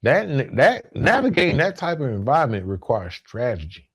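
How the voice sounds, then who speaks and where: A man hosting a solo motivational podcast, speaking emphatically and deliberately, repeating key phrases and checking in with the listener. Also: mid-range voice, huge pitch swings, husky. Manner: conversational check-ins like "right?" and "okay?". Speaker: podcast host.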